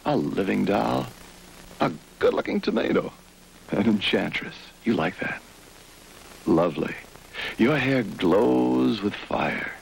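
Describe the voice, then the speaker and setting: A man announcing a shampoo commercial in a slow, suave, teasing manner. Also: on the low side, sing-song, creaky, a little hiss or hum, poor audio. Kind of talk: 1960s TV commercial voice-over.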